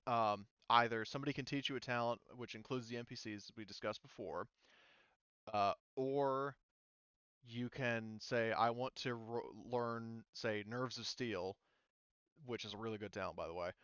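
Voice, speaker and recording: A man's voice: medium pitch, normal speed, a bit bright, smooth, dry recording, muffled recording. A man working as game master, casually explaining tabletop game rules in an informal way.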